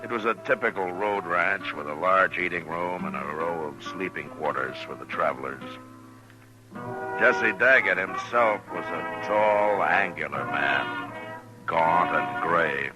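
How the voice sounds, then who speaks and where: A low-pitched, steady lawman of the Old West in a vintage radio drama. He speaks in measured, laconic phrases with a watchful, probing edge. Music runs in the old recording. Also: rough recording. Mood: measured narrating. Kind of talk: old-time radio western drama, partly first-person narration.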